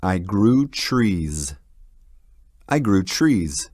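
Slow, deliberate male English teacher. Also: deep voice, big pitch swings, darkish tone. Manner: reads each sentence twice, deliberately. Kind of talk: slow, repeated drill sentences for learners.

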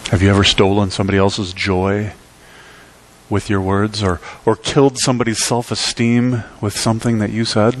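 A middle-aged man preaching in a church, speaking from a lectern with emphatic, rhythmic delivery that swings between loud peaks and quiet drops. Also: little pitch movement, normal speed, slight background noise. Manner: emphatic preaching with sweeping pitch and volume swings. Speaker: pastor. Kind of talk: sermon. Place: church sanctuary.

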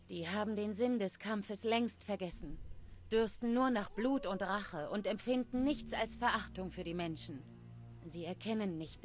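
A woman's voice: medium pitch, natural voice. Woman playing a grave, proud forest dryad. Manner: measured, solemn, formal phrasing. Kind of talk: scripted game dialogue.

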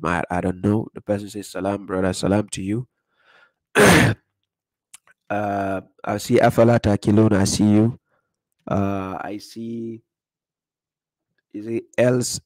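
Low-voiced man hosting a livestream. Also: darkish tone, rough recording. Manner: reads viewer comments aloud, frequent "uh" and "right?". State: recovering from losing his voice. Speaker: livestream host. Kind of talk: casual livestream chat. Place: dark room with curtains, indoors. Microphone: desk condenser mic in front.

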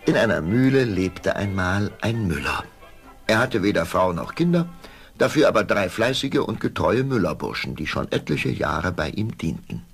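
A man narrating a scripted fairy tale for an audio play in a gravelly voice. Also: sits low, sing-song, normal speed, soft background music.

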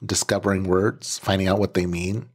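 A man hosting a podcast in casual chat, relaxed and full of fillers and quick laughs, speaking into a podcast microphone. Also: low voice. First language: English. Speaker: podcast host.

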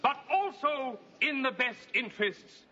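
An actor playing a pompous, indignant gentleman congressman, speaking in grand theatrical oratory for a television tall tale. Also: very high-pitched, expressive, unhurried, slight background noise, exaggerated voice. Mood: pompous, oratorical. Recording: echoey hall, dull old TV sound.

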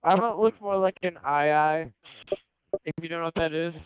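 Teen boy, gaming headset, chatty, giggly, slightly high voice, smooth and dry. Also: normal speed, dry recording, low-quality recording. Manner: chatty, giggly, playful would-you-rather questions. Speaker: gamer in voice chat. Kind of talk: casual party chat while playing.

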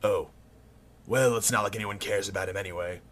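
A man voicing an arrogant, short-tempered villain in a fan parody cartoon dub, gravelly, haughty and theatrical. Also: lowish voice, steady tone, fairly quick, crowd noise, lightly acted. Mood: smug, bored.

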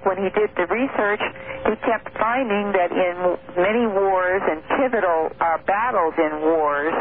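A woman guest on a call-in radio show, explaining at length in a measured, detailed way with filler pauses. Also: fairly low pitch. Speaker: guest researcher. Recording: tinny phone line with faint hiss.

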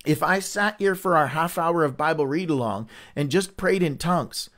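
A man's voice: on the high side, moderate pace, fairly bright tone. A man hosting a livestreamed Bible reading, teaching in an earnest, candid, conversational way with frequent restarts. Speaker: Bible study host.

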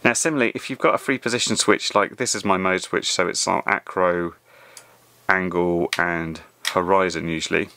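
A man's voice: sits low, fairly even intonation, normal speed, creaky, sharp tone. A man presenting a casual hands-on tutorial in a conversational, step-by-step way. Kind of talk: casual spoken tutorial over a hands-on demonstration.

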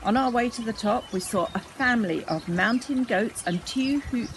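A woman's voice: fairly high pitch, moderate pace, slight background noise. An older woman reading a children's story aloud expressively, outdoors. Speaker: storybook reader. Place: outdoors in a garden.

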